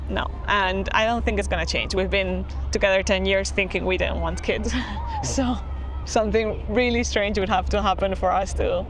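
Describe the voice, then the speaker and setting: A woman's voice: medium pitch, steady tone, moderate pace, hardly any pauses, background noise. A young woman from Spain chatting casually while walking outdoors, answering viewer questions with frequent laughter. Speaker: travel vlogger. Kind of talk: casual walk-and-talk Q&A. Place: outdoors in a town.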